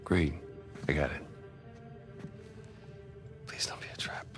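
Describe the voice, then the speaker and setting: An actor playing a gruff, skeptical male treasure-hunting partner in a cinematic video game, wry and grumbling. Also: unhurried, natural voice. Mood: wry, cautious. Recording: game dialogue over music.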